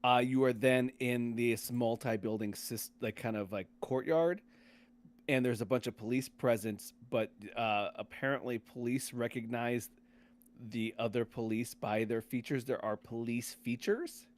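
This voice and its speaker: A man's voice: mid-range voice, fairly even intonation, a little slow. Man casually talking through a game. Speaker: podcast host.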